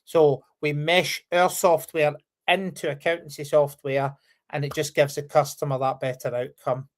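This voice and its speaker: A man's voice: on the high side, level tone, moderate pace. Chatty man with an anglicised Scottish accent. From Scotland, near Glasgow. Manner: chatty, frequent ums, laughs easily. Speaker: guest, company founder. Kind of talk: casual interview chat.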